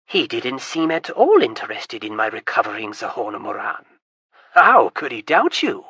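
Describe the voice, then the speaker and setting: A reader voicing an excitable, vain young prince who stammers when nervous and gets shrill and loud when pleased. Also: moderate pace, slightly rough, dry recording, exaggerated voice. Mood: chatty.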